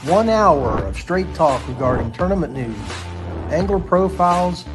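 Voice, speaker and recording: A man's voice: fairly high pitch, animated, unhurried, over music. A man reading a scripted show introduction in a formal announcer manner.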